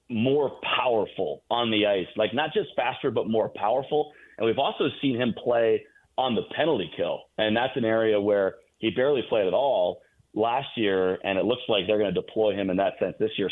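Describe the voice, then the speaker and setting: A man giving sports analysis as a guest announcer on a radio show, heard over a phone-like line. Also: lowish voice.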